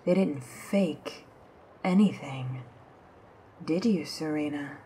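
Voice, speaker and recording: A smug, menacing woman of mystery played by an actress in an ASMR drama. She taunts in a low, slow half-whisper, with faint ambience behind her. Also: warm tone, a little hiss or hum. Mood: smug, taunting. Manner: taunting, drawling.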